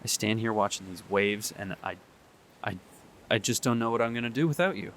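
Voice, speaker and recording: A young man speaks a radio drama monologue in a somewhat low voice. He halts, repeats himself and sighs as he pleads. A faint ambient hiss sits under the recording. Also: a bit bright. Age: young adult. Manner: halting, sighing, pleading. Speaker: monologue performer. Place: beside the sea.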